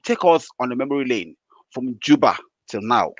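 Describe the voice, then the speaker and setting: A man's voice: medium pitch, animated, normal speed, no room sound. A man hosting an interview, asking winding questions with fillers. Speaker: host.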